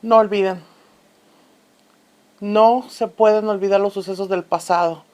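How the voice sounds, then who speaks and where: A woman's voice: medium pitch, darkish tone, close to an everyday voice. A woman playing an earnest commentator from a fictional republic in a radio drama, speaking solemnly and emphatically in scripted interview style. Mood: solemn, urgent.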